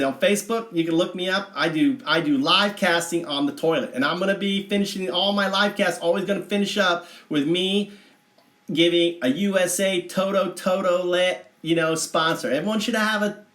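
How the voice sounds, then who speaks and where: A brash redneck livestreamer acted out by a man rambles to his chat in a put-on American Southern accent. He sounds folksy, crude and boastful, with a slightly high male voice in an echoing room. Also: a bit bright. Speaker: livestream host. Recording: roomy, echoing livestream audio.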